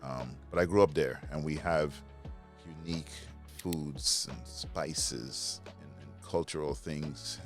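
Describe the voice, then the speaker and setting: Very low-voiced enthusiastic man from Trinidad. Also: slow, soft background music. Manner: enthusiastic, animated, searching for words. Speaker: interview guest, fragrance reviewer. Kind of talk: conversational interview.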